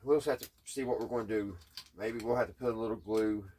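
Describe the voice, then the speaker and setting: A man chatting casually in a halting, puzzled, folksy way, thinking out loud as he tinkers. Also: fairly low pitch, slight background noise. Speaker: host of a radio repair hobby channel. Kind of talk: casual hands-on repair chat, thinking out loud.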